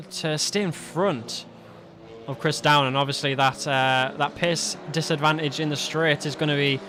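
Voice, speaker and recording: A man's voice: on the high side, fairly bright tone. A British man giving live sim racing commentary on a livestream, mixing it with matey, jokey, colloquial banter. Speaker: esports livestream race commentator. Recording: noisy stream with car engine sound.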